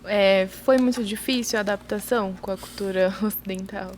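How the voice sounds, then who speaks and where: A woman interviewing a guest, mostly giving brief affirmations and asking soft questions. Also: mid-range voice, steady tone, moderate pace, slight background noise. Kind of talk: conversational interview.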